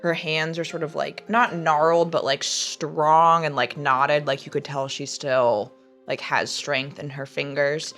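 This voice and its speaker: Low-voiced woman improvising over music. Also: level tone, measured pace, fairly bright tone. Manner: informal, peppered with "like". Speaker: player in a tabletop game. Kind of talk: casual improvised roleplay and description. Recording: background music under the voice.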